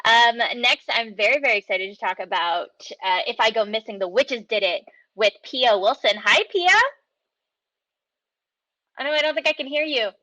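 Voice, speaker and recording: A woman's voice: on the high side, normal speed, sharp tone, no echo, rough recording. A woman hosting a casual online interview, with an enthusiastic, friendly manner. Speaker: interviewer, host.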